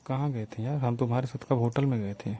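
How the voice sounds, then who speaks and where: A man's voice: mid-range voice, fairly even intonation, quick pace, over a noisy crowd. A man with an eastern Uttar Pradesh accent talking casually and accusingly on a recorded phone call. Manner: accusing, complaining.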